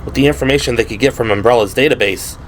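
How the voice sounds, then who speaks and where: A man's voice: mid-range voice, fast, slight background noise. A man reading dramatic, scripted horror narration for an audio drama, with music and effects behind him. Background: music and sound effects.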